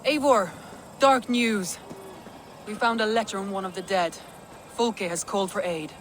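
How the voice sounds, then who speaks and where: A woman's voice: medium pitch, fairly even intonation, unhurried, a little hiss or hum, natural voice. Grave Viking jarl played by a woman. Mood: urgent. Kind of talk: video game cutscene acting.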